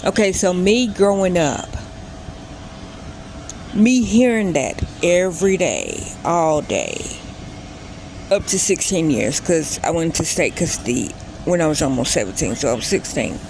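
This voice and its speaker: A woman's voice: fairly low pitch, big pitch swings, unhurried, darkish tone, a little hiss or hum, low-quality recording. A woman in her late thirties telling a personal story in a casual vlog, rambling and often stopping to correct herself. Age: 30s. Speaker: vlogger.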